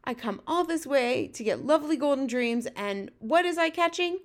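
An excitable giant from a children's storybook, acted out by a woman. The voice is pitched high and full of drama. He exclaims in tumbling, ungrammatical folksy talk. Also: moderate pace. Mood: anguished, furious. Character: excitable big-eared male giant, dream catcher, dramatic.

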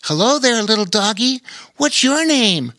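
A man doing a high, eager young boy. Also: big pitch swings, a little slow, pushed and bright, no room sound, studio quality, heavily acted.

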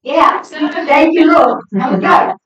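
Woman preacher, high voice, unhurried and emphatic sermon delivery, heard at a distance in a very echoey space. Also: fairly bright tone, raised voice, some chatter behind, a little hiss or hum. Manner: emphatic, exhorting. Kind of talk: sermon to a congregation. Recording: echoey hall, distant, slightly dull sound.

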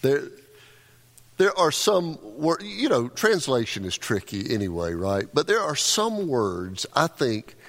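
A man's voice: medium pitch, sing-song, slow pace, a bit bright. A male pastor delivering a conversational sermon, often repeating words as he restarts a thought. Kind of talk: sermon with scripture reading. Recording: long hall reverb.

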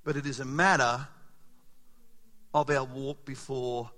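A man preaching a sermon as pastor, animated and emphatic, asking the congregation rhetorical questions, his voice a little raspy. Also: medium pitch, a little slow. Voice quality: slightly raspy. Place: church hall. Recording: long hall reverb.

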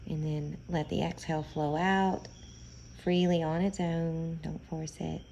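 A woman leading a guided meditation in a soothing, gentle, unhurried manner, pausing often between phrases. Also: low-pitched, level tone, creaky, highs cut off, a little hiss or hum.

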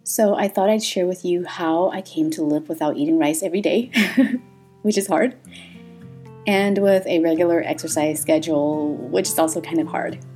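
A woman in her thirties hosts a podcast, sharing her own life candidly and laughing often. Her voice is low and mellow, with a husky edge. Faint music plays underneath. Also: normal speed. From Thailand. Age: 30s. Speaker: podcast host. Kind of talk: personal podcast monologue.

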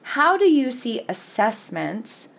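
A woman's voice: fairly high pitch, very animated, slow pace, vocal fry, slight background noise. A woman hosting a podcast interview as a language teacher, speaking in an affirming, articulate way.

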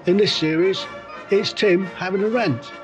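A man hosting a solo podcast, ranting with indignant rhetorical questions and halting restarts, his voice a little croaky from a cold. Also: sits high, lo-fi sound, over music. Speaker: podcast host. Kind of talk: solo opinion rant.

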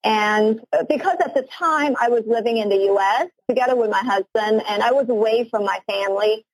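Earnest woman from hong kong, 40s, fairly high voice, dry close sound, keeps restarting her sentences. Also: fairly quick, breathy edge, no room sound, rough recording. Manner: earnest, eager, repeats words when starting sentences. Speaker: guest, company CEO. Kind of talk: interview answers.

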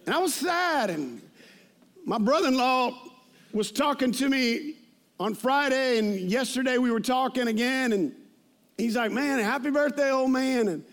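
A man in his fifties preaches in a notably high-pitched voice. His delivery is informal and conversational, like someone telling personal stories from the pulpit, and he often repeats phrases to drive them home. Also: expressive, measured pace, a bit bright. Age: 50s. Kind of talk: conversational sermon with personal anecdotes.

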